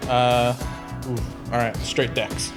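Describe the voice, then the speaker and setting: A man playing a tabletop game, talking casually at a very slow pace. His pitch is middling, and music plays clearly behind him. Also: completely flat delivery.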